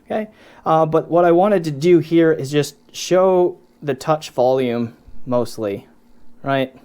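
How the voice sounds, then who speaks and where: A man's voice: fairly high pitch, slow pace, dark tone, clean tone. A man giving a casual, unscripted gear review, explaining things conversationally and often breaking off his own sentences. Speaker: product reviewer.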